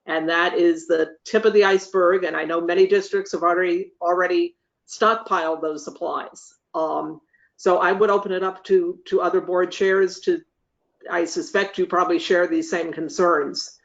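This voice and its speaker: Meeting facilitator, measured explanatory delivery, careful hesitant phrasing, middle pitch, dull lo-fi call sound. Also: fairly even intonation, gravelly. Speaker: meeting facilitator answering board chairs' questions. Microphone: video call. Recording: dull lo-fi video call audio.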